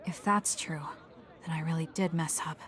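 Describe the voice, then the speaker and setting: A woman's voice: sits low, steady tone, moderate pace, a bit bright, some chatter behind. An actress playing a cool, blunt, determined young female soldier in a video game, reserved and matter-of-fact. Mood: musing.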